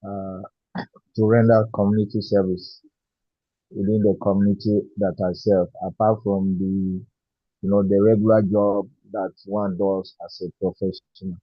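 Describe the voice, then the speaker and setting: A man's voice: fairly low pitch, little pitch movement, a little slow, no echo. A man speaking at an online meeting, hesitant and full of fillers, thanking a guest speaker. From Nigeria. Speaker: meeting participant. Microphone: video call. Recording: dull lo-fi call audio.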